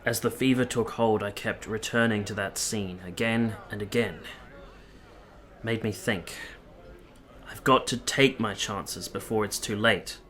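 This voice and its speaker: An actor playing an earnest, tender young officer in a radio play, speaking and narrating in a measured, sincere, literary way. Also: male voice, on the low side, fairly bright tone. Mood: earnest, gentle.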